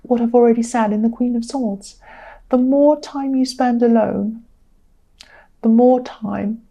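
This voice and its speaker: A tired woman with a croaky, mellow voice talks through a tarot spread. She speaks earnestly and circles back to repeat her points for emphasis. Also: fairly high pitch, steady tone, measured pace. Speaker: tarot reader. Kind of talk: casual tarot reading to camera.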